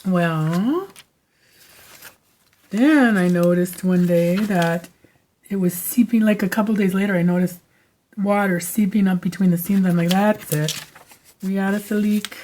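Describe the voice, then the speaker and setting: A woman hosting a crafting channel, talking casually at home with a raspy voice, rambling from story to story with lots of fillers and no microphone on. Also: medium pitch, unhurried, long pauses, mellow, a little hiss or hum. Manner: chatty, digressive, lots of fillers. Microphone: no microphone, camera mic.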